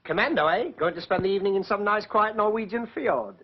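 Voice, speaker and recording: An actor playing a cheeky, joking male partner in an escort agency, full of quick wisecracks and tongue-twisted banter, in a 1940s British film comedy. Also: high-pitched, slight background noise. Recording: dull 1940s film soundtrack.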